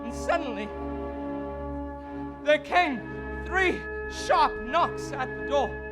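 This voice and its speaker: A man's voice: very high voice, expressive, slow, over music, full character voice. A teenage male stage actor playing a frightened, dying young composer who stammers, halts and breaks into nervous laughter. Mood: haunted. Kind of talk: theatrical stage acting. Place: theatre stage.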